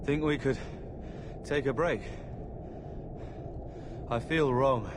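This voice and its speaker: A man's voice: mid-range voice, slow pace, natural voice. An actor playing an ailing, bitter young man in a video game, weak and despairing as he talks. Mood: weak, faltering. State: weak and sickly. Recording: noisy game ambience.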